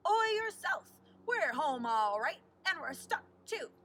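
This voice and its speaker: A cranky storybook pirate captain, played by a woman, hailing heartily in salty seafaring talk. The voice is bright and forceful, with a high pitch for a captain. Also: big pitch swings, a little slow, recorded from a distance, slight background noise, exaggerated voice. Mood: loud, cranky.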